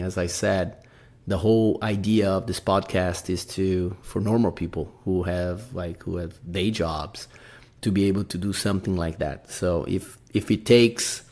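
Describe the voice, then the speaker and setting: Brazilian guy podcasting solo, deep voice, rambly, keeps saying right?. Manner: rambling, tags many sentences with "right?". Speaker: podcast host. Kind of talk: casual solo podcast talk.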